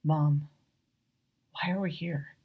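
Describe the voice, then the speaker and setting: Adult son woman narrator playing him: low, unhurried, wry, gently pressing, short-story reading. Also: fairly even intonation, slightly rough, muffled recording, exaggerated voice. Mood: insistent.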